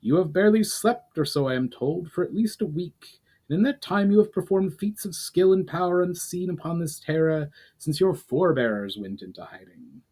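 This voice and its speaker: A man voicing a wry, caring elder brother in a fantasy tale, gently teasing in formal words. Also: on the high side, animated, normal speed, slightly rough, voice pushed up. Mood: wry, fond.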